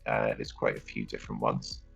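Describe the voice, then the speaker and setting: A man's voice: a bass voice, completely flat delivery, brisk, creaky, a bit bright, faint music behind, pitched below the performer's own voice, full character voice. A man speaking as a robot through a voice changer, giving matter-of-fact tutorial instructions. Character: flat evil robot, very deep and mechanical. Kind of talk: step-by-step tutorial narration.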